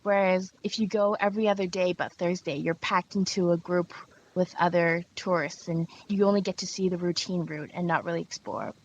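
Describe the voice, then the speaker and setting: A woman's voice: on the low side, steady tone, normal speed. A young woman from Hong Kong and Canada, answering conversationally as a guest in a travel interview. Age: young adult. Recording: dull, noisy remote call.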